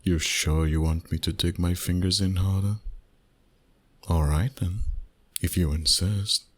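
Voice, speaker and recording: A man voicing a flirty, dominant vampire boss in an intimate, teasing ASMR roleplay, soft and breathy. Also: low-pitched, steady tone. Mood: hungry, seductive. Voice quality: breathy, husky, often near a whisper. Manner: slow, soft, teasing seduction.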